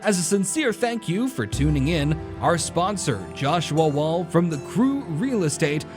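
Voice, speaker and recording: A man's voice: on the high side, big pitch swings, measured pace. A man working as an announcer, reading a scripted announcement. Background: music.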